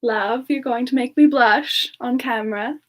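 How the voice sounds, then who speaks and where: A woman acts out a sweet young female character hosting a soft semi-ASMR livestream. Her voice is high and her pace is leisurely. She is gentle and affectionate, treating each listener as a dear friend. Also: measured pace. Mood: sweet, shy. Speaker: streamer answering chat and singing requests.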